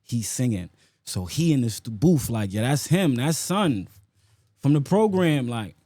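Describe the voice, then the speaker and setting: A man's voice: medium pitch, lively intonation, a little slow, warm tone, dry recording. A man with a New York accent talking casually in an interview as a rapper, using street slang and frequent check-in tags. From South Bronx, New York. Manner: street slang with frequent "you know what I'm saying" tags.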